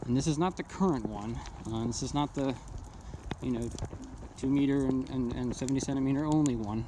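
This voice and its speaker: Halting man over loud background noise. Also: medium pitch. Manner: halting, hesitant, thinking aloud. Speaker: amateur radio hobbyist reviewing handheld radios. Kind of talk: casual off-the-cuff product demonstration. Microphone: handheld phone camera. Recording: noisy phone video with clicks.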